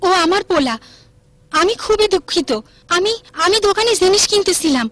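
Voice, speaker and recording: A woman's voice: very high voice, lively intonation, normal speed, a bit bright, no room sound. A woman playing a caring, watchful village mother in a cartoon, with a Bangladeshi accent. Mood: worried.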